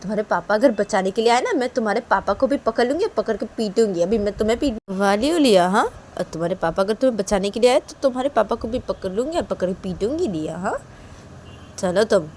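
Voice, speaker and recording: A woman voicing an angry young mother who scolds her child in a loud, nagging way. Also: mid-range voice, expressive, moderate pace, slight background noise, natural voice. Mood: stern scolding. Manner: scolding, repeating questions.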